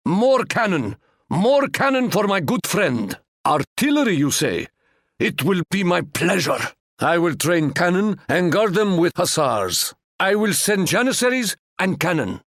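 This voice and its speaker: A man's voice: a little high, no echo, clean studio sound. A stately, proverb-loving Ottoman sultan. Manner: stately, formal, proverb-laden. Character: proud, courteous Ottoman sultan and ally, fond of proverbs. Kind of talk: video game voice lines.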